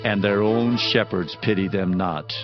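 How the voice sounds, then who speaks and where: A man's voice: lowish voice, measured pace. A man giving a dramatized Bible reading in a solemn, declamatory manner. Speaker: Bible narrator. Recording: dull lo-fi audio with loud music. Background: background music.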